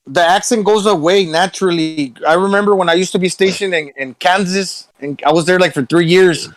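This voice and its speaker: A man's voice: high-pitched, brisk, no room sound, poor audio. A man on a podcast telling thoughtful anecdotes in casual chat.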